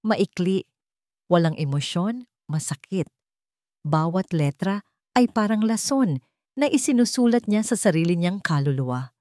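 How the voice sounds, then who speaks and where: A Filipino woman reading a scripted romance story as narrator, with dramatic, deliberate phrasing. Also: lowish voice, a little mellow, dry recording, studio quality.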